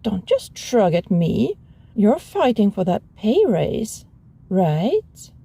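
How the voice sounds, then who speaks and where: A woman playing a sullen, possessive animal hybrid roommate in an ASMR roleplay, grumbling in a sardonic, husky voice. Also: medium pitch, big pitch swings, slow pace, mellow, exaggerated voice. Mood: sullen, reproachful. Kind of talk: ASMR audio roleplay acting.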